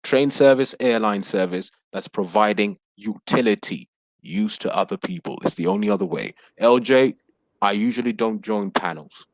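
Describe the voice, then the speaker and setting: A man in his thirties with a low voice, speaking earnestly and politely over a video call. The sound is dry and close. He is formal and measured, and he often calls others sir. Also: no room sound, low-quality recording. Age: 30s. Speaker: panel guest. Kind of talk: casual panel discussion.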